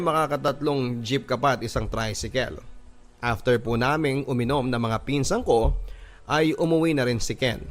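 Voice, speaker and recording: A man with a Filipino accent reading a personal love story as a radio drama narrator. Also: mid-range voice.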